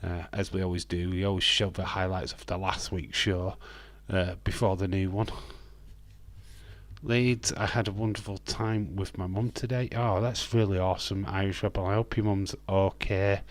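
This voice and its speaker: A man with a lowish, slightly croaky voice hosts a live stream in a loose, rambling chat. He fills gaps with hesitant ums and uhs. The sound is dry and close. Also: flat delivery, normal speed, no room sound. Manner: rambling, friendly, with frequent hesitant fillers. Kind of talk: casual live watch-along chat, reading viewer comments.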